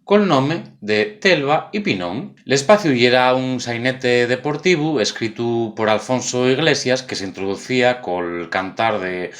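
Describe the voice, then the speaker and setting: A man's voice: mid-range voice, unhurried, few pauses. A man with an Asturian accent hosting an informal explainer video, speaking in a conversational, wry way. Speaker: video host presenting local cultural history.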